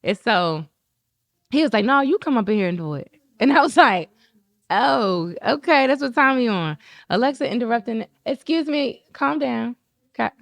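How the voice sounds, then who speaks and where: Animated woman telling stories, dry sound. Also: no room sound. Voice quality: describes her own talking voice as high-pitched and squeaky. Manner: animated storyteller who acts out quoted dialogue. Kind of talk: casual podcast conversation.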